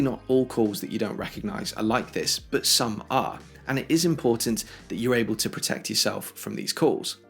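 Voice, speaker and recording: A man's voice: on the low side, gravelly, slightly rough. A man hosting a tech tutorial, explaining things step by step in a conversational way. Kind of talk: instructional how-to narration for video.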